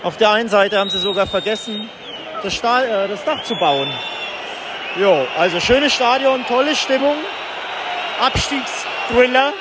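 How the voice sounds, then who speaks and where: A man reporting live and casually from a football match as a podcast host, speaking in an excited, raised voice. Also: sits high, measured pace. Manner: excited, raised voice over stadium noise. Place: outdoors in a football stadium stand. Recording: loud stadium crowd noise, outdoor field recording. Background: loud cheering football crowd.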